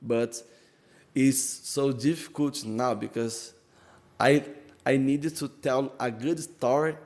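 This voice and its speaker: Slow, gravelly man. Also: mid-range voice, fairly even intonation, darkish tone. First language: Portuguese. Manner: halting learner English with restarts and fillers. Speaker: vlogger. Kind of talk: casual English practice vlog. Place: empty room he found with an open door.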